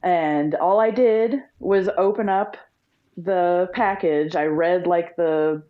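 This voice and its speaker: A woman's voice: fairly low pitch, takes it slowly. A woman from the US Midwest, a guest on a podcast, chatting casually and laughing often. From Illinois, US Midwest. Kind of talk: casual interview. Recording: dull lo-fi audio.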